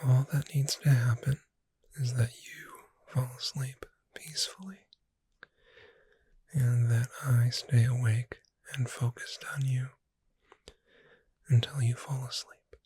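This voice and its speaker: A performer voicing a gentle, reassuring witch bookseller in a breathy whisper, soothing the listener in an ASMR roleplay. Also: mid-range voice, fairly even intonation, slight background noise, natural voice. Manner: slow, gentle, soothing, addressing the listener directly.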